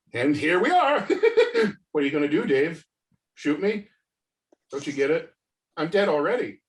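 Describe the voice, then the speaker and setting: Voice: medium pitch, very animated, unhurried, long pauses. A performer playing a gloating, doomed masked criminal with theatrical mockery in a comedic script reading. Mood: frantic. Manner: theatrical, mocking, swinging into shouts. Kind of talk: comedic script table read. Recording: distant, echoey video call audio.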